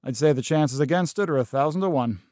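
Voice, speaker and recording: A man performs a self-assured professor of astronomy for an old radio play. His voice sits a little high, with measured scholarly phrasing and a dismissive certainty. The recording is dry and close. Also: darkish tone, dry recording, lightly acted. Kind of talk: 1930s radio drama acting.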